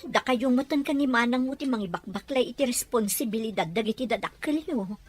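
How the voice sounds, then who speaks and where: A woman playing a concerned aunt giving advice in an Ilocano audio drama, measured in manner, with an Ilocano accent. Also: a little high, slightly rough, faint music behind, voice pushed down. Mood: advising. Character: concerned, advising older aunt or neighbour woman.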